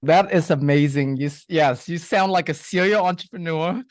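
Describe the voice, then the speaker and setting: A man's voice: fairly high pitch, moderate pace, no room sound. A man hosting a podcast interview, asking questions in a casual way and cheering his guest on with short affirmations. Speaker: podcast host.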